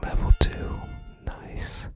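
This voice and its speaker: A person whispering soft, unhurried ASMR commentary while playing a video game and reading out its text. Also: loud music behind the voice.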